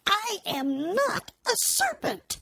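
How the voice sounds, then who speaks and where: A man's voice: very high-pitched, wildly swooping pitch, measured pace, creaky, bright, forceful tone, no room sound, studio quality, pitched above the performer's own voice, full character voice. A man reading a storybook aloud, voicing a polite, earnest little girl who grows prim and indignant.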